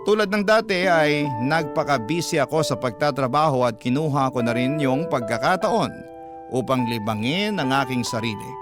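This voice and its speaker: A man with a Filipino accent reading a listener's letter as measured, sentimental scripted radio narration. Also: medium pitch, lively intonation. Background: background music.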